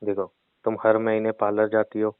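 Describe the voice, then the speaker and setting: A man's voice: fairly low pitch, completely flat delivery, normal speed, smooth, no echo. A young man teasing his girlfriend in a comedic phone call, playful and mocking. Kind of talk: scripted comedic phone banter.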